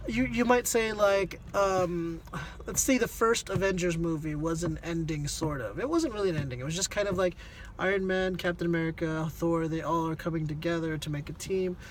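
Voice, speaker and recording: A co-host speaks casually in a middle-to-high register, with faint noise in the background. The delivery is questioning and conversational, pausing while reasoning through each point. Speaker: vlog co-host reviewing a film.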